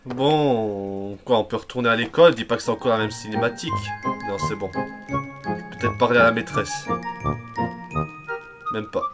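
A man's voice: on the low side, moderate pace, long pauses. A young man doing casual, mocking game commentary in a crude, grumbling, sarcastic way. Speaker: gaming video host. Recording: game music behind. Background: cartoon game music and dialogue.

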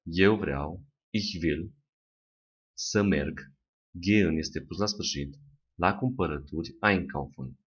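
A man teaching German, saying each phrase slowly and repeating it. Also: deep voice, breathy edge. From Romania. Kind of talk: slow language lesson with repetition. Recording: dull lo-fi recording.

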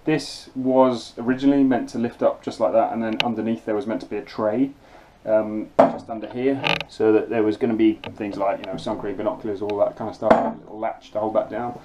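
Man, 40s, lowish voice, practical casual tour talk, close handheld camera in a cramped cabin, noisy with handling clicks. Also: steady tone, a little fast, few pauses. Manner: matter-of-fact, practical, with frequent ums. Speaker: sailor giving a boat tour. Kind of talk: casual walkthrough. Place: cramped cabin of a small yacht. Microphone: handheld action camera close up.

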